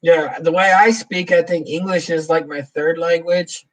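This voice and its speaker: A man's voice: fairly high pitch, fairly even intonation, measured pace, far from the mic, low-quality recording. Rambling man on a livestream. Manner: rambling, reads viewer comments aloud, chuckles often. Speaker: livestream host. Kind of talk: casual live Q&A chat.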